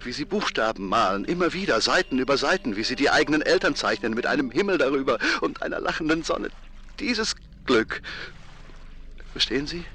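A man acting a middle-aged fisherman and father in a radio drama, an earnest, haunted witness who tells his story haltingly and insistently. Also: mid-range voice, a bit bright, a little hiss or hum, lightly acted. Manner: halting, deliberate, repetitive storytelling.

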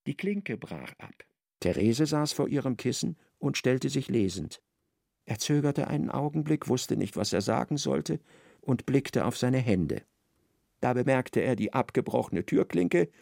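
Casting: male narrator, gravelly, lively melody, dry studio. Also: mid-range voice, no echo. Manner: measured, expressive storytelling. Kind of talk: literary radio narration.

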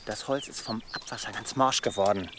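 Guy acting a cautious medieval peddler man, radio play, clear outdoor noise behind him. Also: fairly low pitch, brisk, close to an everyday voice. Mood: wary. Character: wary medieval travelling merchant, man. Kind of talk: German radio drama acting. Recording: clear outdoor ambience with birds.

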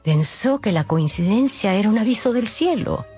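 A woman's voice: mid-range voice, animated, moderate pace, warm tone. A woman narrating a dramatised story, with wry, knowing asides to the listeners. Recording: faint music behind the voice.

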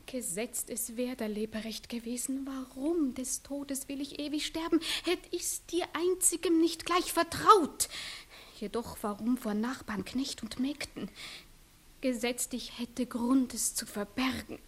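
A proud young country maiden speaks in a very high voice. She pleads and reproaches with impassioned, declamatory phrasing in the manner of 1960s radio theatre. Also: unhurried. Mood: hushed pleading. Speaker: actress in a radio play. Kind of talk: 1960s German-language classical radio acting.

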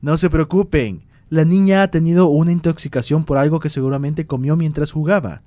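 A man voicing a reassuring, authoritative village doctor with a measured, clinical manner in a radio drama. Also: on the high side, fairly even intonation, mellow, dry recording, pitched above the performer's own voice, lightly acted. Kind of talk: amateur radio drama acting.

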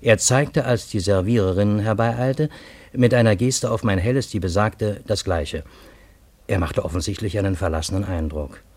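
An actor playing a wry, reflective man who narrates his own story in a radio play, with measured, literary phrasing. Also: lowish voice, darkish tone, no room sound, natural voice. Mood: observant storytelling. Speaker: first-person narrator.

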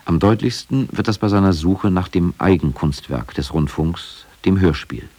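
Deep-voiced man narrating a documentary. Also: moderate pace, a little mellow, husky. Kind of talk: scripted radio documentary narration.

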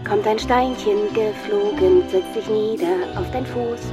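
An actress playing a playful donor who delivers a rhyming gift letter in a radio drama. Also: female voice, mid-range voice, a little slow, close to an everyday voice. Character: female donor offering a rock with playful rhyme. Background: music.

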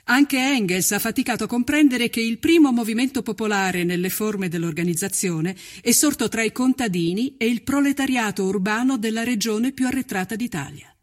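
A man reading scripted documentary narration in a solemn, measured and dramatic way. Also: high voice.

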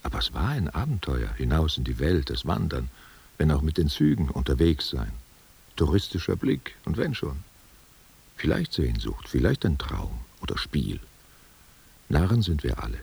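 A man's voice: very deep voice, sing-song, normal speed, creaky, a little mellow, breathy edge, full character voice. An actor playing an old man, a reminiscing stage player who talks tenderly and theatrically in a literary radio drama. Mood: musing. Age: older. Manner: musing, theatrical, answering unheard questions. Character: reminiscing old German actor, tender and musing over wine.

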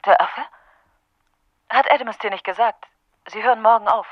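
A woman's voice: on the high side, fairly even intonation, normal speed, no room sound, highs cut off, lightly acted. An actress playing an evasive, reassuring woman in a radio drama.

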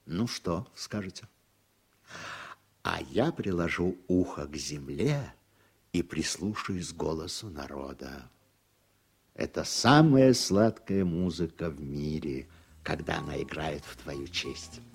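Radio drama role: calculating, self-satisfied statesman, deep gravel-edged bass, oratorical delivery. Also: animated, slow, full character voice. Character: calculating, self-satisfied male politician with grand airs.

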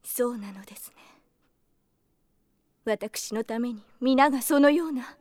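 A woman as a high-voiced, gentle young noblewoman. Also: a little slow, no echo, clean studio sound, lightly acted. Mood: sorrowful, concerned. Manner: soft, polite, formal. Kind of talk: Japanese radio drama acting.

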